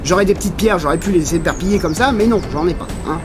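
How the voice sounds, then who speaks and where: A man's voice: high-pitched, quick pace, over music, lightly acted. A man playing a lost holidaymaker muttering sarcastically to himself in a comedy audio drama. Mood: sarcastic grumbling. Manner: sarcastic, self-talking. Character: lost stranded holidaymaker grumbling and cheering self on.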